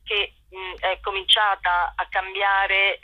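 Female interview guest, mid-range voice, halting conversational delivery, dull phone-line sound. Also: medium pitch, level tone, dry recording. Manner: halting, self-correcting. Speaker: actress and playwright, guest. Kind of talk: spontaneous radio interview about own show.

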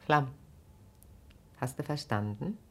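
A perceptive, caring wife in a naturalistic radio drama. Her voice is very deep for a woman. She speaks very slowly and gravely, weighing each earnest, probing question. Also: expressive, fairly bright tone, slight background noise, heavily acted. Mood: grave, hushed.

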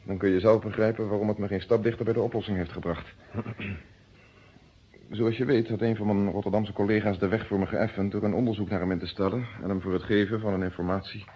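An actor playing an earnest, thoughtful police inspector in a radio play, speaking formally on a muffled old recording. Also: male voice, fairly low pitch, steady tone, fast, a little hiss or hum, close to an everyday voice. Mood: businesslike. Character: earnest police inspector working a murder case.

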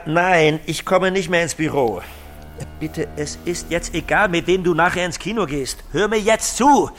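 A man's voice: mid-range voice, a bit bright, a little hiss or hum. An actor playing an uptight, orderly office man held captive in a German audio drama, clipped and exasperated.

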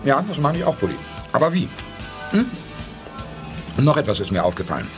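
Man voicing a decisive space commander, lively pitch, measured reasoning, faint music under. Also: close to an everyday voice. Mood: steady. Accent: German. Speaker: lead character. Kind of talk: 1980s German radio play acting.

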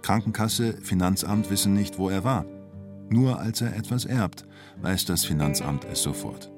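Guy with a low voice narrating a radio documentary, short plain sentences, bit of music behind. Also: moderate pace.

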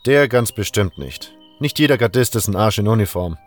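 A man voicing a calm, reserved armorer and hunter in a fantasy audio drama, unhurried and matter-of-fact, with music behind him. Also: fairly low pitch, natural voice. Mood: firm. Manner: unhurried, matter-of-fact, talkative once engaged.

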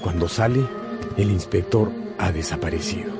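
A man's voice: low-pitched, lively intonation, normal speed. A man narrating a scripted radio drama as a dramatic storyteller. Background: prominent music.

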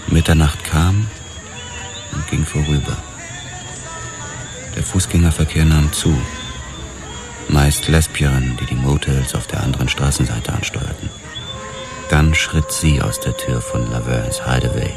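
An actor narrating a noir radio drama as a weary, observant police detective, cool and detached. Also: male voice, extremely low pitch. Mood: brooding, watchful. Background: music.

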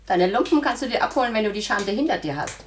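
An actress in a 1960s German radio play playing a hard-hearted wife, curt, sharp-tongued and dismissive. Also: female voice, medium pitch, lively intonation, fast talker, a little hiss or hum, natural voice. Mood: curt, scornful. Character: cold, scornful, hard-hearted wife turning husband away.

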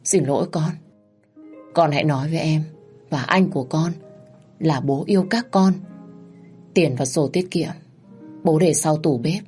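A woman voicing a despairing middle-aged father who speaks in a heavy, quiet, pleading way. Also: low voice, a little slow, pauses often, soft background music. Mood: grim, resigned.

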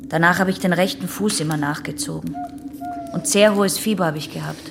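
A woman's voice: on the low side, fairly quick, vocal fry, noisy background. A young woman playing a guarded, defiant patient of early-1900s Vienna in a radio play, restrained and terse. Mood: guarded recalling. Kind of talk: German radio play acting.

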